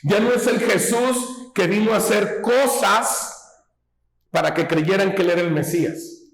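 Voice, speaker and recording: A man delivers a sermon at an unhurried pace, his voice pitched fairly high for a man. He talks in a homely, teaching way, stopping to check that the congregation follows. The sound carries the echo of a large room. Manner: folksy, asks rhetorical questions, teaching tone. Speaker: preacher. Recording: echoey hall.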